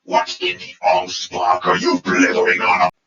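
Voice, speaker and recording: A man's voice: low-pitched, monotone, moderate pace, bright, forceful tone, pitched below the performer's own voice. A man voicing an evil, bitter robot warlord in an audio drama, with a commanding, brooding, theatrical delivery. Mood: furious. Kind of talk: fan audio drama acting. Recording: echoey, muffled, distant from the mic.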